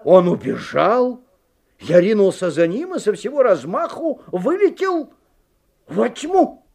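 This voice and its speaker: An actor playing an anxious, watchful father who narrates a strange tale in a 1970s radio play, expressive and dramatic. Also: sits high, slow pace, vocal fry, a crowd in the background, full character voice. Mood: dramatic dread.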